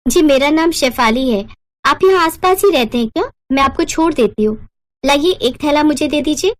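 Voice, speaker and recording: A woman voicing a polite young newlywed in an animated storybook tale, respectful, earnest and eager. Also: high voice, moderate pace, no room sound, pitched above the performer's own voice.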